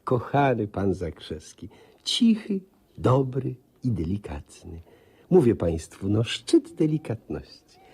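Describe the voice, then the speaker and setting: An actor playing an effusive, insistently hospitable husband in a 1950s Polish radio comedy, gushing and repeating himself with theatrical politeness. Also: male voice, on the low side, sing-song, takes it slowly, darkish tone, breathy edge, full character voice. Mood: wry, fond.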